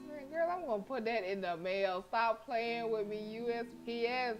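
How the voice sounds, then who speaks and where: A woman's voice: a little high, normal speed, dull audio. A woman livestreaming a visual novel, reading it aloud with playful, slangy asides. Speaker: video game streamer. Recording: music playing behind the voice. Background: game music.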